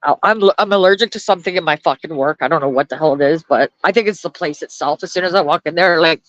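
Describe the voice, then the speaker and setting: A woman's voice: sits low, fast, fairly bright tone, no room sound, dull audio. Blunt, foul-mouthed woman livestreaming. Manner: blunt, swears constantly, laughs often, talks to chat and dog. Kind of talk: profane casual live-stream rant and chat. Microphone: phone held close.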